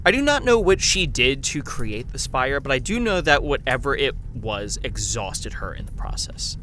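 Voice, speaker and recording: A man's voice: mid-range voice, sing-song, normal speed, sharp tone, slight background noise. An actor playing a haunted, earnest survivor who recounts his ordeal in grave, formal, theatrical narration for a horror audio drama. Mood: somber, haunted. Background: music.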